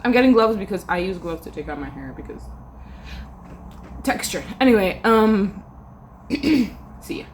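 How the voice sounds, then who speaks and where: A woman's voice: mid-range voice, animated, moderate pace, pauses often, slight background noise. A young woman, a university student, chatting casually to her camera at home, rambling and trailing off with fillers. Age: young adult. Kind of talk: casual vlog chat to camera.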